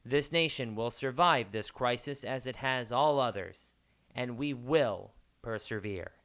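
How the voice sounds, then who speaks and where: A man playing a grave, formal government spokesman giving a measured emergency address in a radio drama. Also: mid-range voice, muffled recording, natural voice. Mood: solemn, resolute. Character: solemn male government spokesman addressing a nation in crisis.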